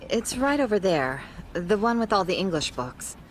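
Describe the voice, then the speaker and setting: An actress playing a polite, helpful school staff member in a video game, with a courteous delivery. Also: female voice, medium pitch, sing-song, moderate pace, a little hiss or hum, close to an everyday voice. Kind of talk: video game cutscene acting, English dub.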